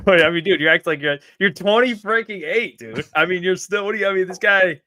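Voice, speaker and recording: A man's voice: sits high, brisk, sharp tone, no echo. A man in his mid twenties co-hosting a sports stream, joking and reading viewer comments aloud. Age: 20s. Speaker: sports show co-host. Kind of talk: casual live-stream chat.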